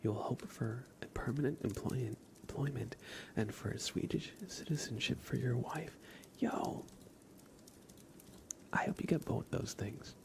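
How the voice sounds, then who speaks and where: A man hosting an ASMR livestream, chatting casually with viewers in a soft, breathy half-whisper, sometimes drawing words out and repeating them. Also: medium pitch, measured pace, slight background noise. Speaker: livestream host.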